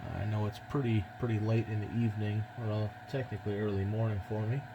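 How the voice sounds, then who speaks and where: A man's voice: on the low side, steady tone, quick pace, muffled recording. American man on radio, very noisy recording. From United States. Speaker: amateur radio operator. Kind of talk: casual ham radio contact.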